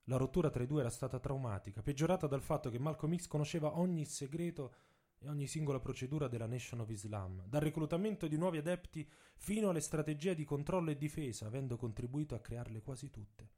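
A man reads a scripted dramatic story for radio theatre in measured, deliberate phrases with dramatic pauses. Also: fairly high pitch, lively intonation, dark tone. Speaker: author and narrator. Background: music, saxophone and drums.

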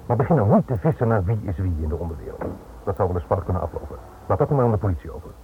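An actor playing a guarded Dutch police inspector in a radio play, formal, measured and quietly insistent, on an old recording. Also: male voice, lowish voice, expressive. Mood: insistent warning. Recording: muffled phone-like old radio recording, noisy.

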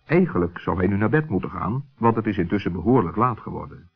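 A man's voice: lowish voice, expressive, fast, husky. A man narrating a scripted children's story as the narrator of an old radio play. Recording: muffled old radio recording, faint music.

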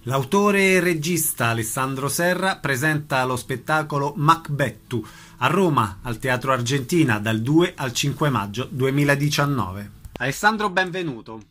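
A man's voice: mid-range voice, lively intonation, moderate pace, bright, forceful tone. A man hosting a radio show, presenting from a script and introducing a guest.

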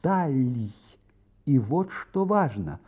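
An actor playing an earnest amateur sleuth in a Russian radio play, explaining things with concern in a slightly husky voice. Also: male voice, medium pitch, very animated, takes it slowly, exaggerated voice. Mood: earnest, reasoning. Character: earnest amateur sleuth, worried man reasoning out a crime. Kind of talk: audio play dialogue and narration. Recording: slightly muffled recording.

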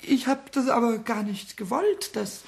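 A man playing a small childlike kobold in a children's radio play, squeaky and pleading, with halting, emotional speech. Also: expressive, creaky, heavily acted. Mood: contrite, tearful.

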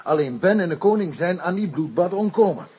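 An African tribesman giving testimony in a radio drama. His voice is very husky and fairly high for a man, and he speaks in simple, broken foreigner's sentences. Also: animated, a little mellow, muffled recording, heavily acted. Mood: solemn, recounting. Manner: broken, foreigner's grammar, simple halting phrases. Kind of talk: radio play acting.

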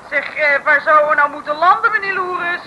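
A woman's voice: high-pitched, brisk, pushed and bright, lo-fi sound. An actress playing an eager, giggly young Dutch detective's assistant in an old comic radio serial, polite and girlish. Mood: puzzled. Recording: old radio recording with faint noise.

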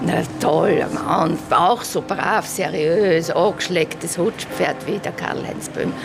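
Radio drama: wistful, talkative older Viennese woman, gravelly voice, music underneath. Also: fairly low pitch, takes it slowly. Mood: wry, wistful. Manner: chatty, wistful storytelling. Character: nostalgic, chatty older Viennese woman in street interview. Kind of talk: anecdotal street interview.

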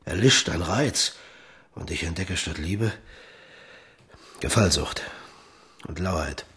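Deep-voiced amorous baroque king. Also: takes it slowly, fairly bright tone, husky, exaggerated voice. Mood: seductive. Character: amorous, imperious baroque king, flattering and scheming. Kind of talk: radio drama acting.

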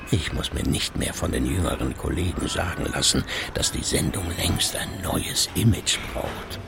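A man's voice: low-pitched, vocal fry, background noise, full character voice. An actor playing a wry, self-satisfied retired radio editor in his sixties, musing theatrically to himself in a radio play. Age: 60s. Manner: musing to himself, theatrical shifts between murmur and exclamation. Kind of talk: German radio play monologue.

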